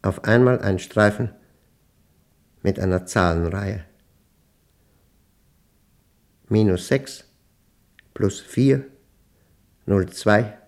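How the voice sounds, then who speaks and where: A man acting a brooding mathematician in a German radio play, reading his diary in terse, deliberate, measured fragments. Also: fairly low pitch, a little mellow. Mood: grave, tense.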